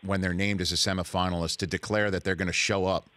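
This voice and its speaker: Casting: lowish male radio host, easygoing and teasing, dry studio sound. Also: little pitch movement, fast talker, fairly bright tone, dry recording. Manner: conversational, teasing. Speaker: sports talk show host. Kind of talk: radio talk show interview.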